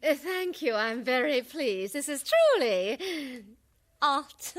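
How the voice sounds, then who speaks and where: A woman playing a gracious, easily flattered queen in a satirical radio drama, regal and affected. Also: high voice, takes it slowly, full character voice. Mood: delighted.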